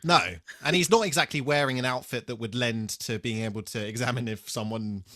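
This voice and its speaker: A man with a bright, forward voice, talking casually as a podcast co-host. He speaks haltingly, swears freely and pokes fun at himself as he tells stories. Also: medium pitch. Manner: sweary, halting, self-deprecating. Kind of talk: casual chat, personal anecdotes.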